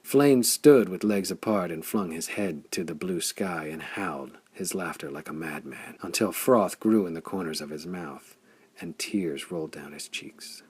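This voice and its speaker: A man reading pulp adventure fiction as an audiobook narrator, with a dramatic, intense delivery and a gravelly, husky voice. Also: sits low, unhurried, a little mellow.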